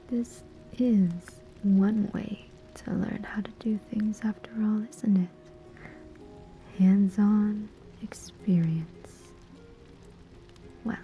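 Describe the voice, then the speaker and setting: A woman's voice: mid-range voice, dark tone, poor audio. A woman playing a sly, amused demon collector of souls in a whispered, teasing ASMR roleplay. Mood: amused, condescending. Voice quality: breathy, whispering. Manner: slow, teasing, deliberate pauses.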